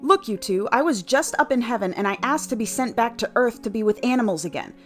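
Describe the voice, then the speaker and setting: Woman playing a prickly, animated, bewildered woman. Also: on the high side, fast, faint music behind, close to an everyday voice. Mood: indignant, baffled. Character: prickly, bewildered woman newly arrived in the afterlife. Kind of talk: audio play acting.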